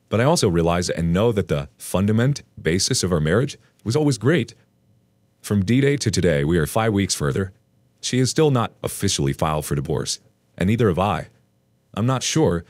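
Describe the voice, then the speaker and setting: A man reading an online post aloud as a storytelling narrator, in an expressive read. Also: fairly low pitch, no room sound.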